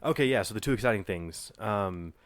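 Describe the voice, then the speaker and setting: A man with a notably low voice, a little nasal from a cold he's getting over. He talks in a halting, restarting way, recorded close and dry with no room sound. It's a relaxed podcast chat between friends. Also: no echo. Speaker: podcast co-host. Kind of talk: casual chat. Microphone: podcast microphone.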